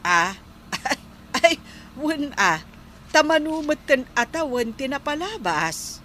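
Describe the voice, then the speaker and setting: An actress playing a patient, polite young wife in a radio comedy drama, speaking softly and respectfully. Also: female voice, high voice, sing-song, slow, a little hiss or hum, full character voice.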